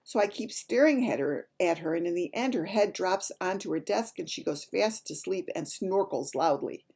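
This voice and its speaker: Woman reading as an excitable, husky storybook child. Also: on the low side, moderate pace, pitched above the performer's own voice. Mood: gleeful, mischievous. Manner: excited, ungrammatical, emphatic storytelling. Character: child gleefully recounting dreams in mangled grammar.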